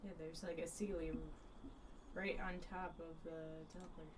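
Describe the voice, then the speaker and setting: Low-pitched woman livestreaming, distant mic. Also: flat delivery, moderate pace, background noise. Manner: rambling, thinking aloud while playing. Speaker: game streamer. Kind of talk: casual livestream gaming chat. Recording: distant mic under loud game music. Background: loud video game music.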